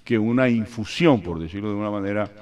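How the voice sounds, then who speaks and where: Older radio host, joking. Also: male voice, on the low side, quick pace. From Colombia. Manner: animated, joking, rambling. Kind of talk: casual radio chat, storytelling. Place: radio studio. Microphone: studio mic with headphones.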